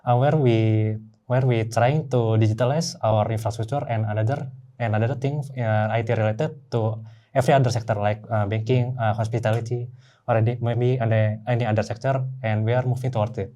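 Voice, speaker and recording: A man's voice: fairly low pitch, fairly even intonation, a little fast, dark tone. A man, a technical engineer on a roundtable panel, speaking hesitantly and repeating words. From Indonesia.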